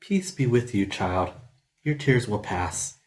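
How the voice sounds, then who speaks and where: A man playing a gentle, wise old sage who speaks in a measured, cryptic way, in a fantasy audio drama. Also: fairly low pitch, very animated. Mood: calm, gentle. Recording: music behind the voice.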